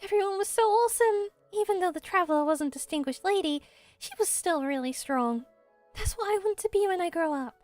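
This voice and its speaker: A woman voicing a bright, eager little village girl who loves stories, in a game. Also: extremely high pitch, expressive, fairly quick, no room sound, soft background music. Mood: delighted.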